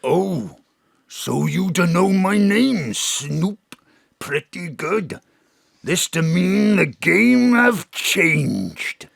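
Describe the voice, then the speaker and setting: An actor playing a menacing, slangy gangster with a rough, gravelly voice and a South Wales Valleys accent in an audio drama. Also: male voice, high voice, sing-song, fairly bright tone, no room sound, studio quality, exaggerated voice. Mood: gloating menace. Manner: slangy, threatening, drawn-out delivery. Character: menacing male Welsh gangster with a gun.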